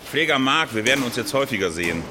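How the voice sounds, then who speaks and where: A man's voice: mid-range voice, expressive, quick pace, noisy background, natural voice. An actor playing a matter-of-fact, casually dismissive male hospital nurse in a radio drama. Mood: brisk, dismissive.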